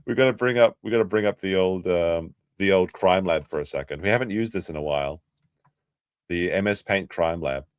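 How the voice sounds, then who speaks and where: A man's voice: sits low, fairly quick, clear, resonant tone, no echo, clean studio sound. An Australian man hosting a casual livestream, chatty and sarcastic, reacting to clips and laughing often.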